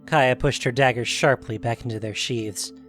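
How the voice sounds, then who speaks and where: A man's voice: medium pitch, steady tone, normal speed, fairly bright tone, faint music behind. A man narrating a scripted fantasy story as the narrator of an audio production.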